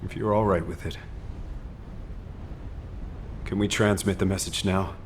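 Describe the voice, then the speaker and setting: A man playing a respectful, earnest military officer in a video game, grave and gentle in delivery. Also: low voice, fairly even intonation, unhurried, slight background noise. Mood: solemn, careful. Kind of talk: video game story voice acting.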